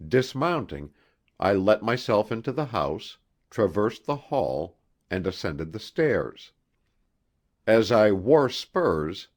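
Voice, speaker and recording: A male audiobook narrator reads literary fiction in a measured style. His voice sits fairly low and rises and falls widely. The delivery is slow and expressive. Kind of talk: scripted literary narration of a horror story.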